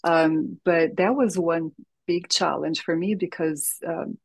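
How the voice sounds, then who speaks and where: A woman speaks English with a Brazilian Portuguese accent, her first language being Portuguese. Her voice sits low and a little husky, and it comes across dry and close. She talks in an earnest, reflective way, with frequent light laughter. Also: slow pace, a little mellow, no room sound. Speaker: guest, holistic health coach. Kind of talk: casual interview chat.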